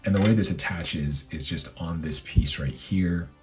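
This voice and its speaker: A man with a hoarse voice reviewing a collectible figure in a casual, rambling way, full of fillers and self-corrections. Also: deep voice, measured pace, a little mellow, faint music behind. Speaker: toy reviewer.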